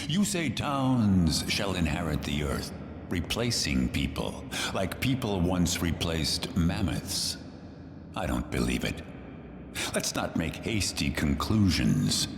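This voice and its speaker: An actor playing a grandiose philosophical man in a theatrical game pantomime, speaking in measured declamation. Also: rumbling low, background noise, full character voice. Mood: firm, skeptical. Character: grandiose, philosophical male stage-pantomime figure defending humanity. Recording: echoey hall, long reverb, music behind. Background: music.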